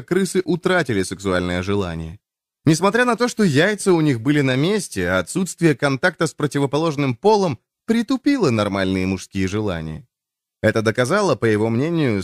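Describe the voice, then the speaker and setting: Male narrator, animated intonation, medium pitch, nonfiction audiobook, expressive phrasing, dry close sound. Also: dry recording.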